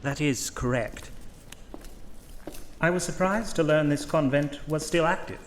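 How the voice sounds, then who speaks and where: A man's voice: fairly high pitch, unhurried, slight background noise, natural voice. A man playing a devout, hesitant priest in a horror audio drama, polite and formal with a slightly pompous turn of phrase.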